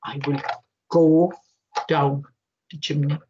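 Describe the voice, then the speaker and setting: A big bad wolf from a storybook, sly and threatening, voiced by a woman who drops her voice low and stretches every word. His huffing threats come slow and heavy. The recording sounds dull and lo-fi. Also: flat delivery, mellow, a little hiss or hum. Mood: menacing. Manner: slow, drawn-out, growling threats.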